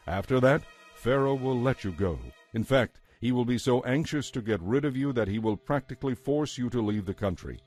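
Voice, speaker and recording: A male narrator reads scripture in a solemn, measured storytelling style. His voice is on the low side, with faint music playing underneath. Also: a little mellow.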